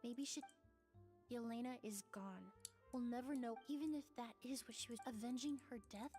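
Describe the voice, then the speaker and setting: Composed woman perfumer, gently reflective voiced by an actress. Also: on the high side, close to an everyday voice. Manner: measured, articulate, contemplative. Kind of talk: scripted video game dialogue. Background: music.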